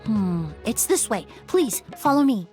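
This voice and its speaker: An actress playing a composed young perfumer who speaks in a measured, reassuring way in a game scene. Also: female voice, on the high side, sing-song, warm tone, faint music behind. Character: calm young woman perfumer, composed and gentle. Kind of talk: game cutscene dialogue.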